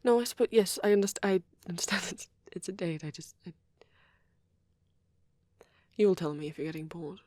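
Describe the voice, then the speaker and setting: A woman voicing a shy, nervous young male vampire in a soft ASMR roleplay, hesitant and stammering, with a breathy, husky voice. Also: lowish voice, normal speed, long pauses, dry recording, lightly acted. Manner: hesitant, stammering, self-doubting. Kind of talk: ASMR boyfriend roleplay, improvised rambling.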